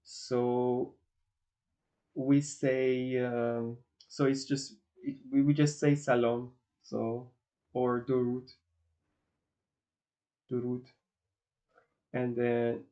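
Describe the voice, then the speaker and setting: A man from northwest Iran, a native Azeri and Farsi speaker, chatting casually with his stream viewers and often pausing to search for words. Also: medium pitch, level tone, mellow. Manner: hesitant, searching for words, many restarts and fillers. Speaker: livestream host.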